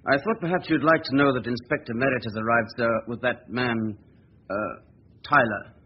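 An actor playing a respectful, clipped police inspector with a husky voice, in 1940s radio acting. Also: male voice, mid-range voice, normal speed, lightly acted. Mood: deferential. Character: deferential male police inspector. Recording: dull lo-fi 1940s radio recording.

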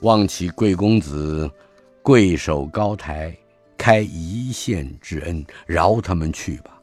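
A male storyteller voicing a polite, composed military officer in a lowered, measured voice. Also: soft background music. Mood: courteous. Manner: deep, polite, measured, calm.

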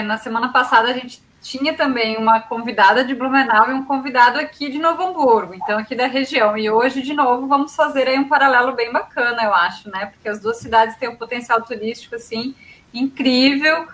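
A woman co-hosting an online talk show, chatty and quick to laugh, on a video call. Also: fairly high pitch, fairly bright tone, poor audio. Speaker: co-host.

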